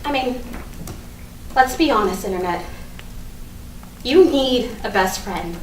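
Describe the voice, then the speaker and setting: An actress plays an anxious, guilty young woman in a stage comedy. She is self-doubting, with a high voice that swings up and down. The recording is echoey, with faint noise. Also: animated, takes it slowly, distant mic. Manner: self-doubting, repetitive, swearing. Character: anxious, guilty young woman agonizing over her messages. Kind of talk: theatrical staged reading of a comic play. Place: live staged reading in an echoey room.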